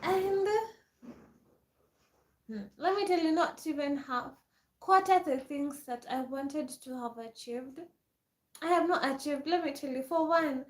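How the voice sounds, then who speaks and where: A woman's voice: sits high, unhurried, pauses often. Young woman doing a phone livestream, chatty and laughy, roomy echo. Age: 20s. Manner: chatty, reads and answers viewer comments, laughs often. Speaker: livestream host. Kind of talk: casual livestream chat with viewers. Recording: echoey room.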